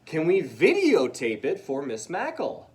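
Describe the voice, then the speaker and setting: Man acting out an excitable young schoolboy, pitched up. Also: a little slow, distant mic. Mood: hopeful. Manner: exclaiming, questioning. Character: young schoolboys, earnest, excitable and easily upset.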